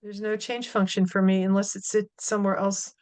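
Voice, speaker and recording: A woman's voice: mid-range voice, level tone, a little fast, darkish tone. A woman in a virtual meeting, chattily reading out menu options as she tries to find a setting. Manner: chatty, reads menu items aloud. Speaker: commission member, temporary meeting host.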